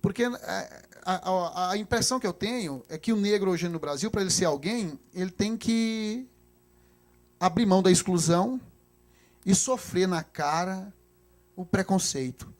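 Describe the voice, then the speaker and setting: A man with a Brazilian accent hosting a conversational interview, putting questions in halting phrases. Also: sits high. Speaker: host interviewing guests.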